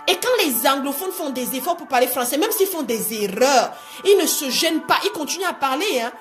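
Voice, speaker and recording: A woman coaching online viewers in an animated, exhortative live talk, repeating phrases and asking rhetorical questions for emphasis. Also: high voice, fairly quick, over music. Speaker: motivational coach hosting a livestream.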